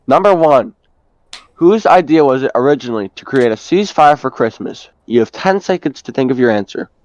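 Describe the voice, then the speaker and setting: A man's voice: mid-range voice, expressive, a little fast, darkish tone, dull audio, a little hiss or hum. A young man presenting from a script in the manner of a quiz host. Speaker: student co-presenter and quizmaster.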